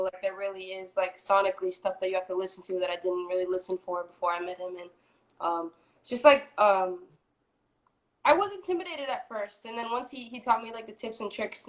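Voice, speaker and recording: Young female singer guest, twenties, easygoing and talkative, medium pitch. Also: rough recording. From Florida, US. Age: 20s. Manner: relaxed, chatty, many fillers. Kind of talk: casual interview.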